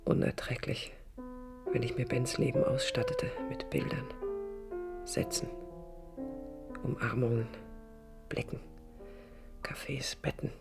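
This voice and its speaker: An actress playing a wistful, jealous young dreamer in love in a 1980s radio play, speaking in a hushed, introspective way. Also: female voice, a bass voice, wildly swooping pitch, takes it slowly, vocal fry, pauses often, whispered, heavily acted. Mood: pained brooding. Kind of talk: 1980s radio play, intimate first-person narration. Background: music.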